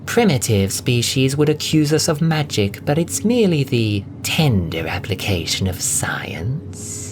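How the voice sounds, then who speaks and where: A man's voice: mid-range voice, sing-song, measured pace, soft background music. A man voicing a stern, smug older female cat nun, superior and clipped, in an audio drama.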